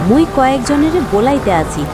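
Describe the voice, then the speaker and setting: A woman voicing a dutiful, concerned village daughter-in-law in a horror cartoon, with a Barisal accent, under loud music. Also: sits high, expressive, brisk. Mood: worried. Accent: rural southern Bangladeshi (Barisal).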